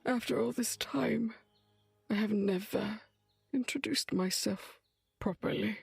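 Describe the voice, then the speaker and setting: A secretive, weary witch of dark fantasy audio drama. Her voice is very husky and creaky, often close to a whisper. She speaks slowly and haltingly, with grave weight. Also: medium pitch, dry recording, faint music behind, exaggerated voice. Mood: grave, choked up. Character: weary, dying foreign witch, secretive and solemn.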